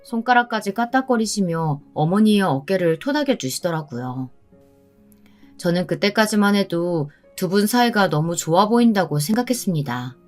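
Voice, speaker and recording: Female narrator, scripted first-person drama story, slightly low pitch, soft music bed.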